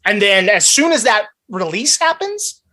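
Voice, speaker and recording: A woman's voice: medium pitch, very animated, unhurried, sharp tone. A woman running a tabletop role-playing game, describing scenes casually and vividly as game master.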